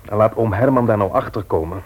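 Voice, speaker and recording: An actor playing a good-humoured young husband and bookseller in a Dutch radio play, with a casual manner. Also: male voice, lowish voice, fast, a little mellow, slightly rough. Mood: soothing. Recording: radio drama recording.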